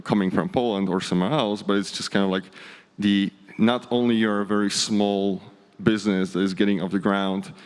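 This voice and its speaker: A man speaking as a panelist in a conference discussion, measured and conversational, often adding "right?". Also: on the low side, clean tone. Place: conference stage. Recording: hall reverb, event stage.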